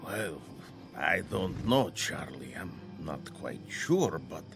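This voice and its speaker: An actor playing a frail old man in a radio drama, boastful yet hesitant, halting and given to drawn-out exclamations. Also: extremely low pitch, very animated, creaky, fairly bright tone, faint music behind, exaggerated voice. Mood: hesitant wonder.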